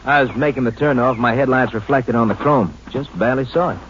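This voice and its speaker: Male actor as eager highway patrolman, medium pitch, very quick and factual, 1950s radio play with faint noise. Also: natural voice. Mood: keen, reporting. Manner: brisk, factual. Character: eager, straightforward highway patrolman reporting a find. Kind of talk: 1950s radio crime drama acting. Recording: muffled, noisy 1950s radio recording.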